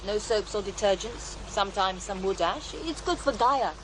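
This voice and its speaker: A middle-aged healer living in a commune , played by an actress, with an ordinary mid-range voice. She is wry, down-to-earth and gently teasing, in an audio drama with clear background ambience. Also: mid-range voice, expressive, normal speed, natural voice. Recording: noisy outdoor ambience bed.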